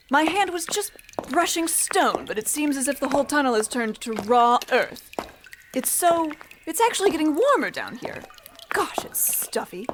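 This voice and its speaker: A prim, complaining American wife on holiday played by a woman in a comedy radio play. Her high voice rises and falls theatrically, over clearly audible background noise. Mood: uneasy. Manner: prim, indignant.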